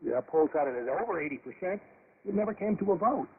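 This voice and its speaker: A man moderating an unscripted news panel in a conversational, halting way, heard over shortwave radio. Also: high-pitched, animated. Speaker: host and moderator. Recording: narrow, noisy shortwave radio reception.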